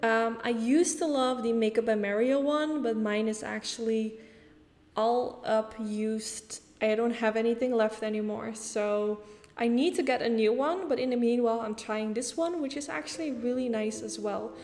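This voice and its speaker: A woman's voice: fairly high pitch, normal speed, darkish tone, big-room sound. A woman from the Netherlands with a Dutch accent, talking casually through a makeup tutorial step by step. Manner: chatty, explains step by step with casual fillers. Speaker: beauty YouTuber.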